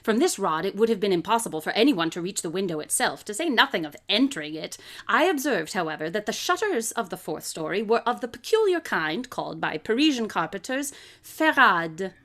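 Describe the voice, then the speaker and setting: An actress playing a brilliant, coolly confident detective who lectures with precise, theatrical diction in an audio drama. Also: female voice, medium pitch, big pitch swings, a little fast, hardly any pauses, a bit bright. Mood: assured, expository. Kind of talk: live audio drama acting.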